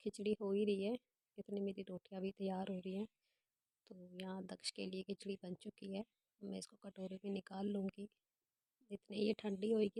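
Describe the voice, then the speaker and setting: A young woman with a hoarse voice from a cold, chatting casually as she walks through her evening kitchen routine step by step. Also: mid-range voice, warm tone, no room sound. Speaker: home vlogger. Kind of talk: casual voice-over narration of home chores.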